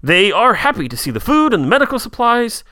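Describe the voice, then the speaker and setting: A man co-hosting a podcast, retelling a TV episode in quick casual chat with asides. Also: very high voice, very animated, pushed and bright, no room sound, studio quality. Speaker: podcast co-host.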